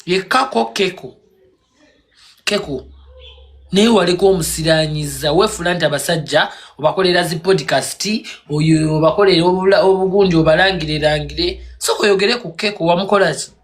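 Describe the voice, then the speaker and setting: A man's voice: high voice, a little fast. A man ranting on a live stream in a scolding, mocking, confrontational way. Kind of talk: heated rant on a live stream.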